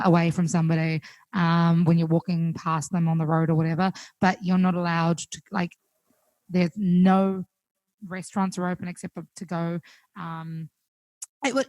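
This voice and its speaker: A young woman with a New Zealand accent, chatting casually as a guest musician, full of fillers and quick laughs. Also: on the low side, fairly even intonation, a little mellow, no room sound, low-quality recording. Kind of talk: casual chat on a call. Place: home, recorded remotely.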